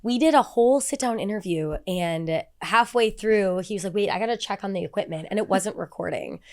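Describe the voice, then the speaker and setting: A woman's voice: mid-range voice, a little fast, darkish tone. Podcast co-host woman asking questions. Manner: conversational, asks the guest questions. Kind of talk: casual chat.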